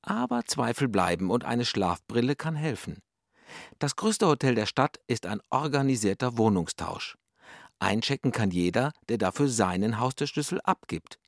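A man's voice: medium pitch, sing-song, moderate pace, creaky, no room sound, studio quality. A man reading a scripted introduction to a radio play as an announcer.

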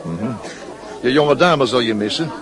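Avuncular male schoolmaster played by a man, paternal and probing, radio drama, medium pitch, crowd in background. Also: expressive, unhurried, natural voice. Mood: kindly, probing. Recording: busy crowd noise behind. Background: crowd chatter.

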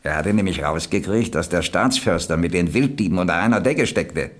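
An actor playing a plain-spoken boiler stoker who reminisces in a folksy, reflective way in a radio crime drama. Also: male voice, deep voice, expressive, brisk. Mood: explaining, admiring. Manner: folksy, ruminative storytelling.

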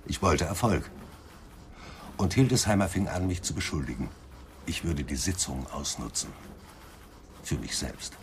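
An actor playing a lonely, aggrieved psychotherapist in a German radio crime drama. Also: male voice, low-pitched, animated, a little slow, long pauses, slight background noise. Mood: bitter confession.

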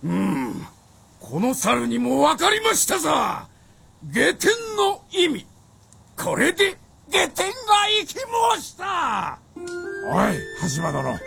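A man's voice: very high-pitched, huge pitch swings, slow pace, gravelly, pushed and bright, slightly rough, raised voice, soft background music, heavily acted. A man playing a fawning, clownish samurai retainer with obsequious, theatrical speech in a Japanese period radio drama. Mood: clownish, excited.

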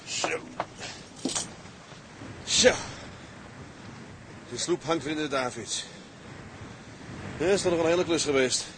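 An actor playing a gruff but kindly seaman in a radio drama, talking in a homely, muttering way. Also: fairly high pitch, slow pace, long pauses, noisy background. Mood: musing. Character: gruff, kindly seaman tending a rescued castaway.

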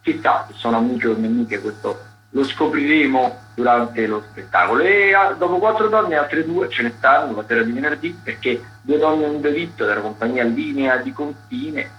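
A man presents culture listings on the radio in a chatty, halting way, digressing and correcting himself. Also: mid-range voice, lo-fi sound, faint music behind. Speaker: radio culture critic presenting a theatre agenda. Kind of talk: chatty live listings commentary.

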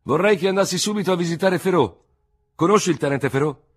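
Brief: man doing a snappy, urgent young officer, husky and loud. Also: high-pitched, fast, voice pushed up. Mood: curt, urgent. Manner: terse, irritated. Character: curt, rattled young male army lieutenant.

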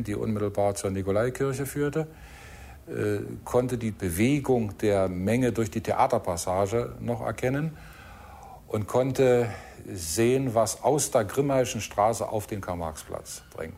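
Low, creaky-voiced man remembering. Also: a little mellow. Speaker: eyewitness interviewee. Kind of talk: spontaneous spoken recollection.

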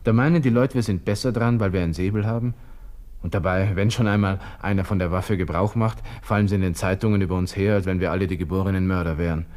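An actor playing a proud, agitated young army lieutenant with a Viennese accent, talking to himself in a radio drama. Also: male voice, low voice, fairly even intonation, fast, a little mellow, natural voice. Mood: indignant. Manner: brooding, talking to himself in clipped bursts. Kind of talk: radio drama interior monologue.

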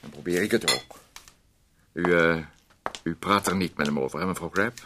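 A man's voice: low voice, moderate pace, natural voice. Een man die in een hoorspel een milde, aarzelende heer speelt die ruzie probeert te sussen. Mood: uneasy soothing. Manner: hesitant, soothing. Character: mild, placating gentleman who avoids quarrels. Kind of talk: Dutch radio play acting. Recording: old radio recording with faint noise.

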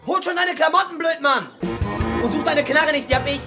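A man's voice: very high voice, fairly quick, over music. A man playing a taunting, volatile young killer in a crime radio drama, aggressive and crude. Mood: furious, threatening.

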